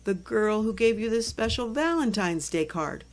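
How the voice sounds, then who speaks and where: A woman's voice: mid-range voice, animated, normal speed, voice pushed up, natural voice. A woman voicing a friendly, welcoming mother hosting a party in a storybook, speaking emphatically. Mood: welcoming, curious.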